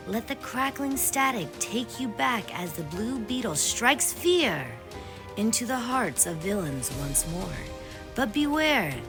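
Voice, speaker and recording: A woman host delivering dramatic scripted narration in an ominous, theatrical, deliberate manner. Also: fairly high pitch, big pitch swings, music in the background. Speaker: horror-show host.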